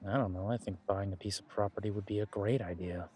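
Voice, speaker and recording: A man's voice: fairly low pitch, fairly even intonation, fast talker, warm tone, slightly rough. A man playing a caring, easygoing werewolf pack leader in an audio roleplay, talking in a casual, conversational way with trailing phrases. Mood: wry, calm.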